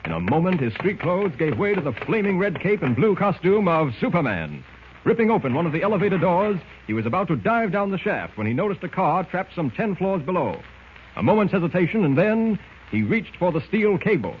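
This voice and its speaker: Animated male narrator of a tinny radio serial. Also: sits high, brisk. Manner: dramatic, declamatory announcer delivery. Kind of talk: 1940s radio scripted narration. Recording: thin, tinny old radio recording, noise.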